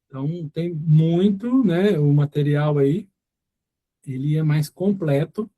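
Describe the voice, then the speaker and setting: A middle-aged man speaks with a Goiás accent. His voice sits a little high but sounds soft and warm. He rambles through an informal class, full of fillers and restarts. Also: slow. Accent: Goiás Brazilian Portuguese. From Goiás, Brazil. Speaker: course instructor.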